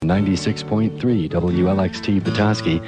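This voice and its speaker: A man's voice: low voice, steady tone, moderate pace, over music. A man announcer reading a scripted radio station identification.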